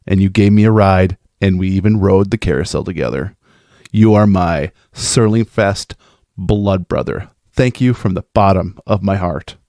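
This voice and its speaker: A man with a fairly low voice talks in an informal, enthusiastic monologue. He is effusive and playful, with a geeky streak. The sound is dry, with little room around it. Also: normal speed, mellow, no room sound. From American Midwest. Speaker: podcast host sending in a festival recap.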